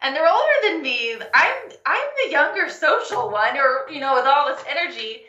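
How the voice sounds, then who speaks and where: A young adult woman, a health coach and guest, telling her story in a casual interview, animated and laughing often. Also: high voice, normal speed, echoey room, dull audio. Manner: animated, laughs often, acts out quoted phone calls.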